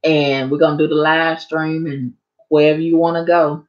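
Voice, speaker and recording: A woman chatting casually on a live stream to her viewers, rambling and a bit hoarse from talking so long. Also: low-pitched, little pitch movement, normal speed, dull audio.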